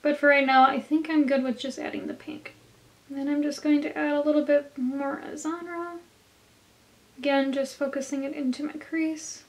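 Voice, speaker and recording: A woman with a hoarse, slightly nasal voice, chatting through a makeup tutorial for her viewers. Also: sits high, fairly even intonation, normal speed. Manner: chatty, talks straight to viewers. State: hoarse from a cold. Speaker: beauty vlogger.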